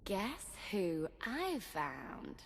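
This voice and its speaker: An actress playing a sly, smug, menacing young villainess in a game cutscene, with drawling delivery. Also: female voice, medium pitch, huge pitch swings, extremely slow, creaky, long reverb tail, a little hiss or hum, exaggerated voice. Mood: gloating. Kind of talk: video game cutscene acting.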